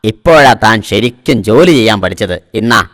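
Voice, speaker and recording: A man's voice: on the low side, animated, fairly quick, fairly bright tone, no echo, clean studio sound. A man voicing a shrewd middle-aged boss in a cartoon, talking in a bossy, scheming way. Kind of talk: cartoon voice acting. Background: background music.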